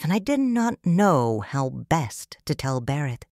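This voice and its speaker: A middle-aged woman narrating in first person as a grieving widow and mother, in soft, tender, reflective audiobook narration. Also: deep voice, very animated, takes it slowly, dry recording, clean studio sound.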